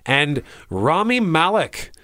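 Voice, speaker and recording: Animated man, lively pitch. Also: slow, dry recording, studio quality. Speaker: radio show co-host. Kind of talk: casual movie chat. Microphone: close studio microphone.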